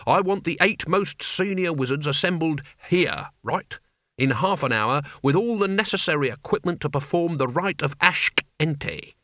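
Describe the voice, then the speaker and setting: A man acts out a cantankerous old wizard from a fantasy storybook. He snaps out orders with sharp contempt, in a bright, forceful voice with very little room sound. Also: medium pitch, expressive, normal speed, no echo, studio quality, voice pushed up, natural voice. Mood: bossy, scornful. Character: bad-tempered, bossy old male wizard.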